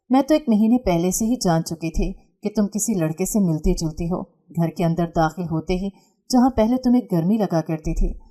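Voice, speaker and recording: A woman's voice: lowish voice, dark tone, natural voice. A woman voicing a stern, proud middle-aged mother who lectures in a harsh, reproachful way.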